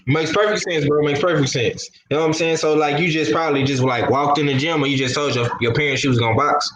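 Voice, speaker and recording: A man's voice: fairly high pitch, fairly even intonation, fast talker, fairly bright tone, low-quality recording. A man co-hosting an interview, asking loose, slangy questions with fillers. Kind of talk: casual interview chat.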